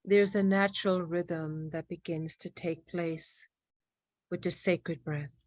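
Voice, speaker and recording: A woman's voice: fairly low pitch, steady tone, takes it slowly, darkish tone, dry recording. A woman leading a spiritual guided meditation in a reverent, incantatory manner, repeating phrases in fragments.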